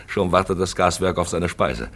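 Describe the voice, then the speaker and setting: A man acting a probing, ominous narrator-questioner in a German radio play, gravelly, theatrical and rhetorically insistent. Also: low voice, moderate pace, fairly bright tone. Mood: brooding, probing.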